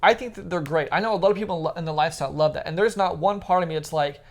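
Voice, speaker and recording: A woman's voice: lowish voice, racing. A woman co-hosting a podcast, chatting casually and giving opinions in an emphatic, teasing way. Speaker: podcast co-host.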